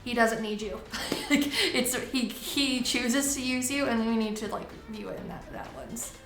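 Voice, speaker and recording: Young woman, casual Q and A chat, fairly high voice, echoey room with faint music. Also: measured pace, creaky. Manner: relaxed, many "like" fillers, laughs easily. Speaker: missionary in Taiwan.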